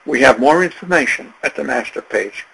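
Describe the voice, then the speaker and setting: A man narrating a travel video in a slow, unhurried, plain-spoken voice-over. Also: mid-range voice, expressive, creaky, fairly bright tone, husky, poor audio.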